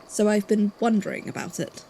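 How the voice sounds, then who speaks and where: A woman playing a guarded, thoughtful investigator in an audio drama, speaking haltingly. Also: medium pitch, creaky, mellow, a little hiss or hum, lightly acted, low-quality recording. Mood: hesitant, thoughtful. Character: guarded, puzzled investigator from a supernatural archive.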